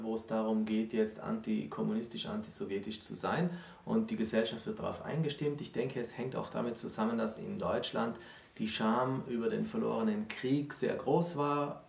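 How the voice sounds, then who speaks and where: A man's voice: mid-range voice, vocal fry, darkish tone. A man in his thirties explaining history as a co-host in a discussion. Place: room with bookshelves, indoors. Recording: roomy echo, distant microphone.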